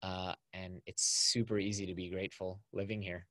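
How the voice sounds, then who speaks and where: A man's voice: low voice, level tone, normal speed, fairly bright tone, no echo. A man, talking as a podcast guest in an upbeat, chatty way over a video call. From California. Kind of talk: casual conversational interview. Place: small home studio with acoustic foam.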